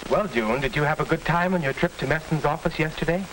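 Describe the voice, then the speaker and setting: An American actor playing a reassuring school counselor in a 1940s instructional film, with formal, deliberate, didactic delivery. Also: male voice, on the high side, lightly acted. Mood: measured, reassuring. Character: measured, reassuring male school vocational counselor. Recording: noisy, hissy 1940s film soundtrack.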